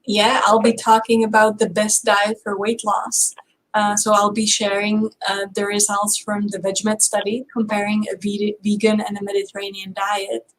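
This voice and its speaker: A woman from the Czech Republic with a Czech accent, a guest researcher answering interview questions in a measured way, with many fillers. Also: mid-range voice, completely flat delivery, poor audio.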